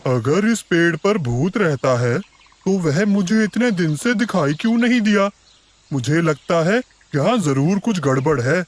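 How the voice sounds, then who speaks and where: A man's voice: fairly high pitch, expressive, unhurried. A man voicing an earnest, easily scared bear in a cartoon forest story. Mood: pondering.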